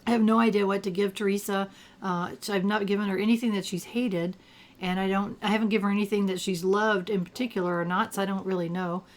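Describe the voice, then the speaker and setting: An older retired woman with a gravelly, allergy-roughened voice, chatting casually while she plays a game and thinks aloud. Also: mid-range voice, fairly even intonation, fast talker. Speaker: gameplay commentator. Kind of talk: casual gameplay commentary.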